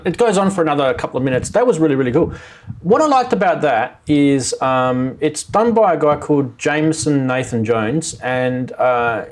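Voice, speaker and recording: A man's voice: medium pitch, a little mellow. A man hosting a live music tech show, rambling enthusiastically and doubling up words for emphasis. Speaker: podcast host. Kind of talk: casual live show chat.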